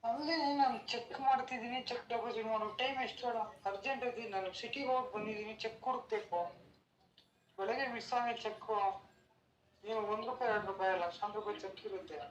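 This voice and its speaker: A man's voice: extremely high pitch, fast, slight background noise. A man talking on a phone call to his wife, curt, irritated and brusque. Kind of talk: casual phone conversation. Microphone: phone on speaker. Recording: distant, roomy speakerphone sound.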